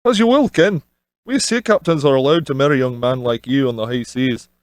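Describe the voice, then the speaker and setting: A man voicing a crafty, smooth-talking Scottish sea captain in an audio storybook, with wheedling salesman's patter. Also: mid-range voice, brisk, dry recording, lightly acted. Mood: sly, persuasive. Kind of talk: audiobook character dialogue.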